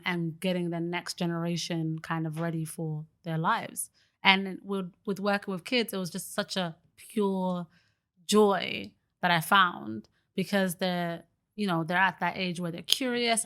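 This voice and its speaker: A young woman speaks as a podcast guest. She is chatty and sincere, leaning on "like" and "you know". Her voice sits slightly low for a woman. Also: pushed and bright. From Britain. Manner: chatty and earnest, many fillers like "like" and "you know"; laughs easily. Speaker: guest, early childhood educator. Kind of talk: casual podcast conversation.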